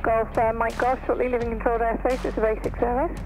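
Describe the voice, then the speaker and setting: Lady air traffic controller on the radio, highish creaky voice, music underneath. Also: little pitch movement, quick pace, darkish tone, poor audio. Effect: aviation radio transmission. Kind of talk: procedural radio phraseology.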